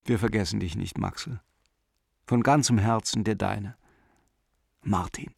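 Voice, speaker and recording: A man's voice: on the low side, measured pace, creaky, a little mellow, husky, dry recording, clean studio sound, full character voice. An actor playing a sentimental art dealer in his forties, reading out a letter in a radio drama in an earnest, confiding way. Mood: warm, urging. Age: 40s.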